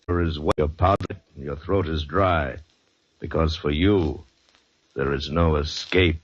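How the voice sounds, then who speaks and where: A man's voice: very deep voice, measured pace, a little hiss or hum. A man announcing a 1940s radio adventure show in an ominous, theatrical manner. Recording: muffled old radio recording. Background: dramatic music.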